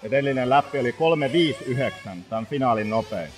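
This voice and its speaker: A man from Finland working as a racing mechanic, coaching his driver over team radio with calm, steady instructions and lap times. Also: mid-range voice. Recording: radio with loud track noise.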